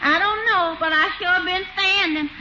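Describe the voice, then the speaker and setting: A woman's voice: very high voice, moderate pace, bright, forceful tone, heavily acted. An actress playing a simple, earnest woman looking for cooking work in a 1940s radio comedy. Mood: plaintive, polite. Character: simple, earnest female cook seeking work. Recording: noisy, muffled old radio recording.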